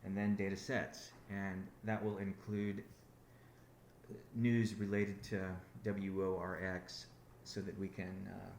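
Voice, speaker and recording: A man's voice: deep voice, flat delivery, takes it slowly, darkish tone, roomy sound, slight background noise. A man giving a rambling business update on a conference call, often restarting his sentences. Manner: rambling, self-correcting, many restarts. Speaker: company founder on a conference call.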